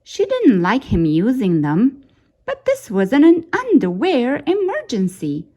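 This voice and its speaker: Woman, children's read-aloud, very lively swooping pitch, unhurried pace, dramatic and suspenseful. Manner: dramatic, suspenseful delivery with drawn-out key words. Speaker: storyteller reading a children's picture book aloud. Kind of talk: expressive read-aloud storytime narration.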